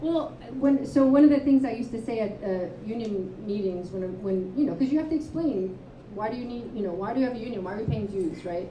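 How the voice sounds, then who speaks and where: A woman author and advocate speaking candidly at a live panel, telling stories with quick asides. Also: on the high side, a little hiss or hum. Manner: candid, storytelling, animated asides. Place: live event venue, indoors. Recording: roomy, distant, dull live-room sound.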